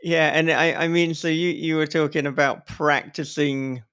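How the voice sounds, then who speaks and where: A man hosting a casual, joking interview in a playful, giggly and halting manner. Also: fairly high pitch, fairly even intonation, a bit bright, smooth. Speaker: podcast host.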